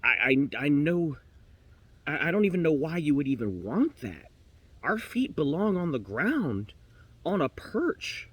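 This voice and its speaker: A man's voice: medium pitch, expressive, a little slow, breathy edge, no echo. Man voicing a timid, flustered little female canary. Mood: earnest, defensive. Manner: stammering, flustered. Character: timid, sheltered female pet canary, devout and defensive.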